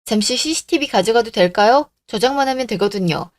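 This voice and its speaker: A woman's voice: fairly high pitch, animated, a little fast, dry recording, close to an everyday voice. Radio drama: woman plays businesslike cop, formal, firm, dry recording. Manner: formal, matter-of-fact. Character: firm, businesslike police officer. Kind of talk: radio drama story acting.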